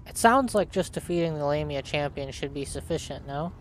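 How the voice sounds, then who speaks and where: A man narrating a let's play and reading the player's dialogue in a flat, matter-of-fact way. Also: a little high, fairly quick, a little hiss or hum. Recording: voice-over with clear game ambience.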